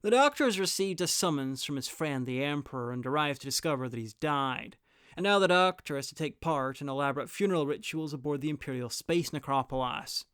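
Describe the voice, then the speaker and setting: A man's voice: a little high, lively intonation, fairly quick, fairly bright tone, clean tone, no room sound, studio quality. A man narrating a scripted review as host of a guide to audio stories.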